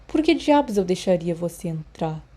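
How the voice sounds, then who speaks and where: A woman's voice: lowish voice, very animated, moderate pace, warm tone. A woman voicing a sneering teenage schoolboy wizard with a drawling, taunting manner, in a Brazilian audiobook.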